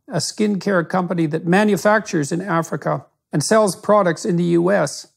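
A middle-aged man hosting an interview, reading a measured, formal introduction of his guest. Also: sits high, gravelly. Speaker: interviewer host.

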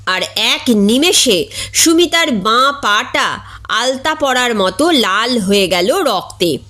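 A high-pitched woman narrates a comic short story. She takes her time, reading expressively as a storyteller. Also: slow. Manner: expressive, acts out characters' dialogue.

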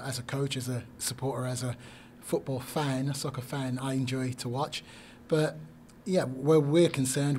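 A man, a head coach at a post-game press conference, speaking in a measured, thoughtful way with many fillers and restarts. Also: medium pitch, darkish tone, a little hiss or hum. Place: small press room. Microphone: desk mic on stand.

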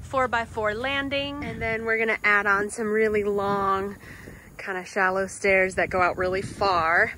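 A middle-aged woman chatting casually and excitedly as she films herself outdoors at a house site with a handheld phone. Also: mid-range voice, slight background noise, poor audio. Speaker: vlogger renovating a farmhouse. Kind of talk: casual walkthrough chat. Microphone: phone held at arm's length.

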